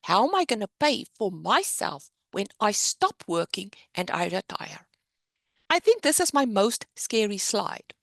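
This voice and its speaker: A middle-aged woman with a South African accent, teaching the basics of investing in an animated, explanatory way on a webinar. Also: mid-range voice, measured pace, creaky, no room sound, studio quality. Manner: animated, explanatory teaching with a lively sing-song rise and fall. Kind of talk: webinar presentation.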